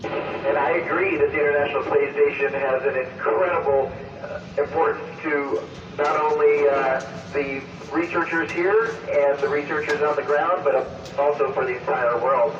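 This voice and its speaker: A man with a fairly high voice answers questions over a dull, very noisy radio transmission. He explains things in an upbeat, slightly halting way, and his voice sounds distant. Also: fairly even intonation, roomy sound.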